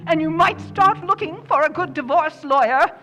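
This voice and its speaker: Woman acting a high-voiced, imperious movie star diva. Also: normal speed, a bit bright, in a large hall. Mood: angry, scornful. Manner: theatrical, haughty. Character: imperious, temperamental female movie star, married and grand. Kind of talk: old-time radio drama recreation acting.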